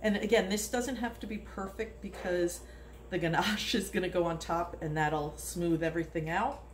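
A woman's voice: fairly low pitch, fairly even intonation, normal speed. A woman in her sixties hosting a casual home cooking demonstration in her kitchen, chatty and rambling, laughing often, recorded on a nearby phone. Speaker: home cooking video host. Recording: echoey kitchen with background noise.

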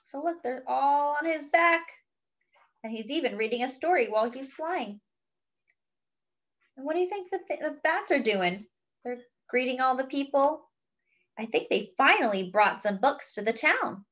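A woman's voice: sits high, expressive, a little slow, fairly bright tone, muffled recording. A woman reading a picture book aloud expressively, with chatty, wondering asides to young listeners. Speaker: children's story reader.

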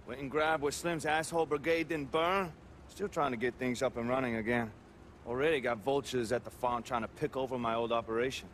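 An actor playing a scrappy, laid-back weed-farming hustler with loose, slangy speech in a video game. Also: male voice, medium pitch, steady tone, fairly quick, a bit bright, a little hiss or hum, natural voice. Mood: eager, casual. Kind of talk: video game cutscene acting.

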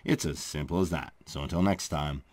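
A man's voice: rumbling low, a little fast. A man hosting a news channel, reading a script in an emphatic, chatty presenter's delivery on a close microphone. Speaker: host of a royal news channel. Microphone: close voice-over microphone.

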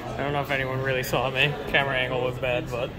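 A man's voice: mid-range voice, flat delivery, quick pace. A man giving casual walk-around vlog commentary, slangy and easily amazed, in short exclamations. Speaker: vlogger. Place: large indoor public expo hall. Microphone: handheld camera or phone. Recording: busy expo hall, music and crowd. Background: loud crowd chatter and music.

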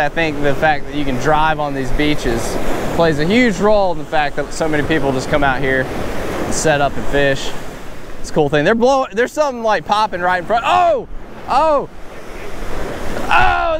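Excitable man, surf roaring behind. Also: on the high side. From Alabama. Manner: excitable, bursts into whoops and exclamations. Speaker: fishing vlogger. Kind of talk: casual on-the-spot fishing commentary. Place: open ocean beach outdoors. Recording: outdoor beach recording with clear surf noise. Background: surf and waves.

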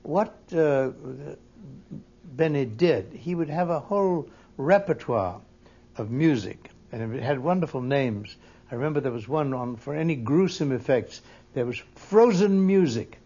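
A man recalling past work in conversation, pausing and halting mid-sentence. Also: medium pitch, expressive, warm tone, breathy edge. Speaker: interviewee recalling colleagues. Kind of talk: conversational reminiscence. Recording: dull, muffled interview recording.

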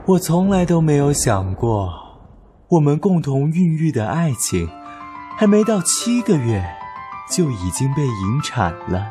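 A man playing a melodramatic, clingy, self-pitying young boyfriend in a comic audio drama, with cheeky, mock-solemn and drawn-out delivery. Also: fairly high pitch, big pitch swings, music in the background.

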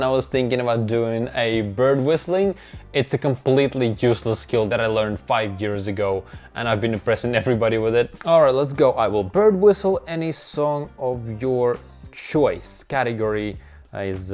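A man's voice: mid-range voice, a little mellow. Young Russian-accented man vlogging. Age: young adult. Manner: upbeat, chuckling, self-mocking. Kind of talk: casual vlog narration. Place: small bedroom, indoors. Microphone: clip-on lapel mic.

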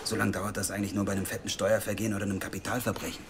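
A man's voice: fairly low pitch, monotone, very fast, natural voice. An actor playing an earnest, probing male journalist in an audio drama. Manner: serious and questioning, defensive when teased.

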